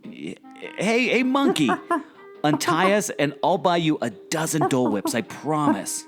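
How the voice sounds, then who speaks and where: Animated adventurer sidekick played by a man over music. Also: fairly high pitch, a little slow. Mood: excited. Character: excitable male adventurer sidekick, anxious and skeptical. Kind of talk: audio adventure radio play acting.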